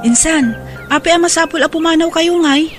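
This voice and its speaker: Casting: helpful Ilocano cousin, high voice, radio drama with clear music behind. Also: female voice, moderate pace. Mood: concerned. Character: helpful cousin offering advice to a vendor.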